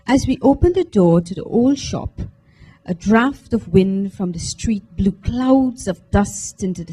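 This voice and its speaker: Slow, mellow woman reading aloud. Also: medium pitch, poor audio. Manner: measured, deliberate, dramatic. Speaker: reader at a book launch. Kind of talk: expressive literary reading, first-person narration. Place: live book launch event at a library.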